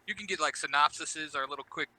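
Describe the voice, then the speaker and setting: A man's voice: a little high, quick pace, a crowd in the background, low-quality recording. A man chatting casually as a podcast guest, explaining things like a knowing fan. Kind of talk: casual chat.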